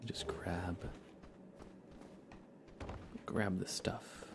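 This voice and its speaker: Male ASMR game streamer: very low, gravelly, hushed and casual, with clear background noise. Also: steady tone, very slow. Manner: hushed, often slipping into whisper. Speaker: ASMR livestreamer. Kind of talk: soft-spoken ASMR gaming commentary, casual.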